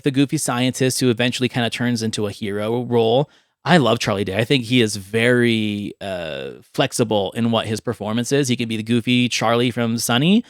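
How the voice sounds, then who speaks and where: An enthusiastic man hosting a casual movie podcast, with a mid-pitched voice. The sound is close and dry, with little room on it. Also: dry recording. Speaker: podcast host.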